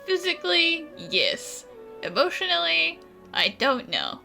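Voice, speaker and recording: A woman's voice: extremely high pitch, huge pitch swings, measured pace, gravelly, sharp tone, full character voice. An actress playing a blunt, wry young female companion in a video game. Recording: faint music behind the voice.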